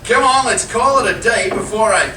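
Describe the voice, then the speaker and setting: An actor playing a skeptical, easily flustered young husband in a live radio play, with a theatrical, stammering delivery. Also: male voice, sits high, moderate pace, lightly acted. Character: exasperated young skeptical husband selling a house. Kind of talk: live old-time radio play acting. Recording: echoey distant stage recording with noise.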